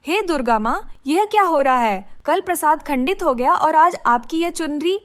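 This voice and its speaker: A woman voicing a devout, gentle young girl in a cartoon story, earnest and pleading. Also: high-pitched, animated, normal speed, no room sound, clean studio sound. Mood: distressed.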